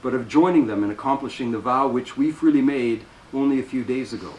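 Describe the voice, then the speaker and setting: A man's voice: medium pitch, a little mellow, close to an everyday voice. A man reading as a stern devout prioress. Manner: measured, firm. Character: calm older prioress, woman, stern and devout. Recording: roomy, distant mic, faint noise.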